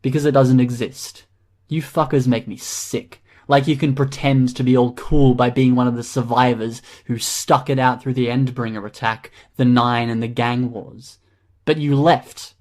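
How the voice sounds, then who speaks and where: A man's voice: medium pitch, fairly even intonation, normal speed, a little mellow, natural voice. Man playing a scornful, accusing forum poster. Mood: sarcastic. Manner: sarcastic, accusing. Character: scornful, angry male internet forum poster. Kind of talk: audiobook character acting.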